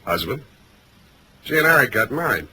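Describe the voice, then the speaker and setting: An American actor playing a wry, hard-boiled private detective in a deadpan, clipped 1940s radio style. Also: male voice, medium pitch, moderate pace. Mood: dry, wry. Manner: deadpan, clipped narration and dry banter. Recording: noisy old radio drama recording.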